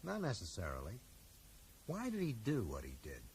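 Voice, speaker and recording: A man's voice: mid-range voice, huge pitch swings, normal speed, a little mellow. An actor playing a reserved, polite man who asks tactful, hesitant questions in a radio drama. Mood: probing. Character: reserved, polite man quietly probing a mystery. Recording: faint noise, 1980s radio play.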